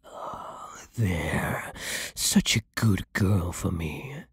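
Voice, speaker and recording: A man voicing a centuries-old, possessive vampire lord in an ASMR roleplay, silky and commanding with a breathy voice that often sinks into whispers. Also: deep voice, expressive, no echo, clean studio sound, exaggerated voice. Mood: mock soothing. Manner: slow, theatrical, silky, commanding, condescending. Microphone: close studio microphone, dry.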